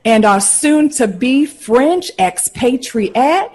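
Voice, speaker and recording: An actress playing a poised, glamorous and warm lady star of the 1940s in a period audio drama, with a husky voice. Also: high-pitched, animated, very slow, exaggerated voice. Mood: playful, gracious.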